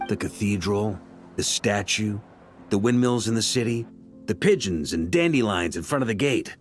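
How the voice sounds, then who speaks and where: A man acting an easygoing, expressive game knight. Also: on the low side, moderate pace, natural voice. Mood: reflective, sincere. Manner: casual, wry, reflective with chuckles. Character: easygoing adult male knight, wry and warmly reflective. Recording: voice over background music. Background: music.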